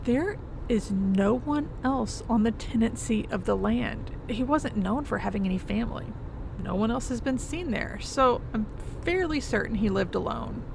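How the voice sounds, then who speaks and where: An actress playing a composed, knowledgeable professor in an audio drama, with a creaky voice and precise, formal delivery. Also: female voice, fairly high pitch, expressive, moderate pace, noisy background. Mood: matter-of-fact. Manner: precise, formal, self-possessed. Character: composed, knowledgeable female professor, older than her companion.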